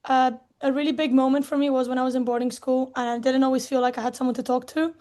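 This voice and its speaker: Flat, high-voiced young woman. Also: fast talker. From Spain and England. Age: young adult. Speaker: student. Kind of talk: personal testimony.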